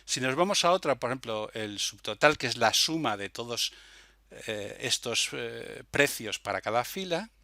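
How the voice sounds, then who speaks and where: Bright-voiced man giving a software demo. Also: mid-range voice, no room sound, studio quality. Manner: hesitant, frequent verbal tags seeking confirmation. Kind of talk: unscripted screencast tutorial, explaining step by step.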